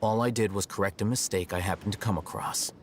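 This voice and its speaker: A man playing an aloof, self-assured young scholar in a video game, articulate, measured and faintly condescending. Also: lowish voice, steady tone, a little hiss or hum, close to an everyday voice. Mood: cool, dismissive.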